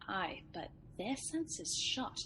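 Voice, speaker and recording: A woman's voice: medium pitch, sing-song, slow pace, background noise. A woman playing a discreet, anxious attendant in a Shakespearean radio drama, guarded and confiding in delivery. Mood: hushed, anxious. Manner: guarded, confiding, often hushed.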